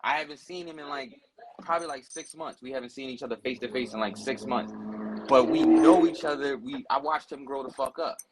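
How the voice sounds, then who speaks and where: A man's voice: medium pitch, animated, fairly quick, background noise. A man in his forties telling his life story in casual conversation, blunt and profane. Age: 40s.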